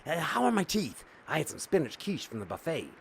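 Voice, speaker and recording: A harried stage magician in an audio drama , played by a man. The voice is creaky and moves freely up and down, hesitant and placating with a sarcastic streak. A little noise sits behind it. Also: fairly high pitch, huge pitch swings, a little fast, heavily acted. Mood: jittery, playful. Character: harried, evasive stage magician and absent father.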